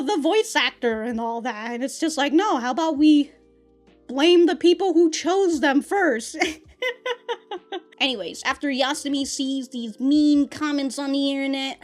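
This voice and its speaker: A young woman reviewing an anime episode in casual talk, ranting and swearing, with many fillers and laughs, close to the mic indoors. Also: high-pitched. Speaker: anime reaction YouTuber. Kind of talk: casual reaction commentary. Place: small room indoors with green-screen backdrop.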